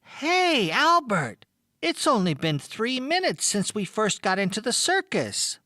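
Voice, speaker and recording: A man voicing an excitable, curious youngster in an audiobook. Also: high voice, unhurried, no echo, clean studio sound, heavily acted. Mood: excited. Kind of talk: audiobook character acting.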